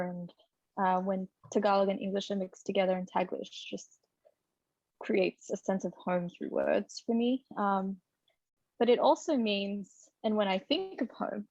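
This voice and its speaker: A woman from the Philippines, speaking as a conference panelist in a thoughtful, steady way with some fillers. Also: mid-range voice, gravelly, dry recording. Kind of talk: reflective personal talk. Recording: dry muffled lo-fi audio.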